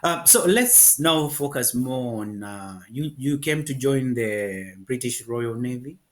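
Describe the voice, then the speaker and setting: A man's voice: mid-range voice, lively intonation, slow pace. A man, hosting a conversational interview and repeating phrases for emphasis. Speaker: interview host.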